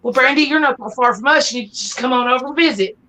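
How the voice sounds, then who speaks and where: A woman's voice: sits high, fast, pushed and bright. A woman with a Southern US accent chatting casually as co-host on a group live stream. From Texas, US. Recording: clearly noisy home stream audio.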